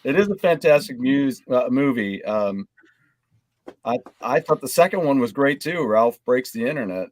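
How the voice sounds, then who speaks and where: A man's voice: medium pitch, expressive, moderate pace, no room sound. Man, enthusiastic, rambling casual chat, mid-range voice, very dry sound. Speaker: podcast panelist.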